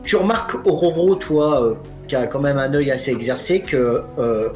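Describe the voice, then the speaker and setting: A man narrating as game master, with frequent fillers and pauses. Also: mid-range voice, faint music behind. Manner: hesitant, many fillers.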